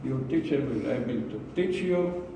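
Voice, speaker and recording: A man with an Israeli accent giving a prepared speech to a club, slow and deliberate, with pauses and repeated words. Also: mid-range voice, expressive, dark tone, a little hiss or hum. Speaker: club speaker. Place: meeting room. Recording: echoey room from far off.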